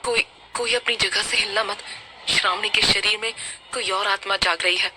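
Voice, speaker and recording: A woman voicing a fearful village father in a horror story, giving halting, urgent warnings over music. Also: mid-range voice, steady tone, pushed and bright, slight background noise, pitched above the performer's own voice, poor audio. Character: alarmed village father warning others in fear.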